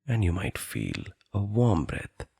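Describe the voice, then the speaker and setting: Deep, gravelly, husky male meditation guide. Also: big pitch swings, mellow, no echo, clean studio sound. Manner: soothing, deliberate, with long pauses.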